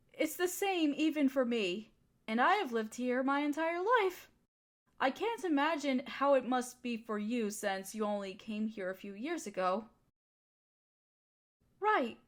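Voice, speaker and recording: Casting: actress as affectionate, softly dominant female partner for intimate audio roleplay; high, very animated, teasing and comforting. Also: heavily acted. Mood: caring, soothing. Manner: flirty, soothing, playfully teasing.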